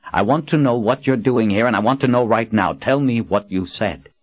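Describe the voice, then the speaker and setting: A man's voice: mid-range voice, moderate pace, no echo, highs cut off, voice pushed up, close to an everyday voice. A man voicing a stern, commanding woman leader who speaks firmly and threateningly. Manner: firm, threatening, controlled.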